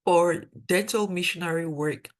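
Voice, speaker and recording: A woman's voice: lowish voice, moderate pace. A young woman from Cameroon with a Cameroonian accent, a dental student mentor giving earnest webinar advice and repeating key points for emphasis over a video call.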